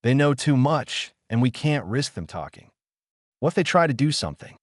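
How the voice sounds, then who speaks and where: A man voicing wary, ruthless high-ranking officers in a science fiction audio story. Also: sing-song, normal speed, no room sound, studio quality. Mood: wary, cold.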